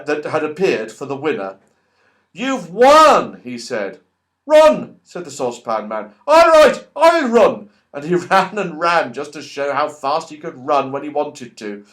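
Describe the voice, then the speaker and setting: A man narrating a children's story in an expressive, playful way, exclaiming over events as an audiobook reader. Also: mid-range voice.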